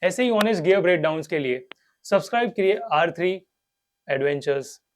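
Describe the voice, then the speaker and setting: Man, Indian accent, slightly high voice, product review host, scripted delivery, English phrases mixed in. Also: expressive, normal speed. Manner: mixes English phrases into his talk. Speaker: host of a motorcycle gear review channel.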